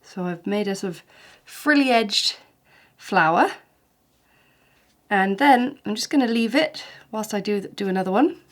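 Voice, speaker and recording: A woman, a little snuffly with hay fever, talking through a watercolour painting tutorial in a gentle, chatty, encouraging way. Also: medium pitch, expressive, measured pace. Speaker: watercolour art teacher.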